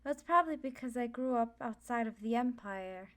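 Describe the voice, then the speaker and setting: Friendly young student mage, higher female voice, acted. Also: moderate pace, natural voice. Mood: friendly, chatty. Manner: chatty, forthright, self-assured. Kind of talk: ASMR roleplay, casual introduction.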